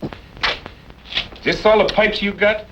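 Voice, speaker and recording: High-pitched, needling Western drifter. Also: male voice, fairly even intonation, takes it slowly. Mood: taunting, sly. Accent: American Western. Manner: taunting, slangy, garrulous. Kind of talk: old-time radio western acting. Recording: muffled, faintly hissy old-time radio recording.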